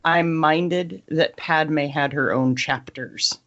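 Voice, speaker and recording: A woman's voice: sits low, slow pace, a bit bright, a little hiss or hum. A woman chatting casually about books as a podcast co-host. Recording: dull, band-limited audio.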